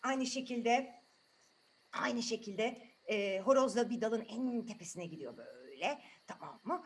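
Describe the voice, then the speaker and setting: Animated woman telling children's fairy tales. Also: on the high side, unhurried, slight background noise. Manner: asks the listeners questions, repeats phrases for suspense. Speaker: children's storyteller. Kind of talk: interactive fairy tale narration for children.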